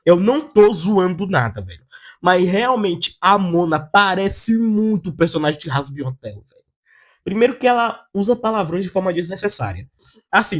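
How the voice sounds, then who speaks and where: A person with a Brazilian Portuguese accent giving casual opinion commentary as a video essayist, in a blunt, slangy, sarcastic way. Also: medium pitch.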